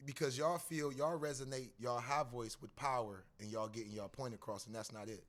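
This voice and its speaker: A man with a raspy, somewhat squeaky voice, chatting animatedly as a guest rapper on a podcast. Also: a little fast. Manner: animated, acts out voices and rap lines. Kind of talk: casual podcast chat.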